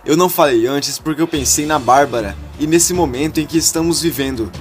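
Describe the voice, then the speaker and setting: A man's voice: on the high side, fairly quick, faint music behind, close to an everyday voice. A man voicing a cornered young man who pleads for forgiveness in a retold soap-opera story. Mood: pleading.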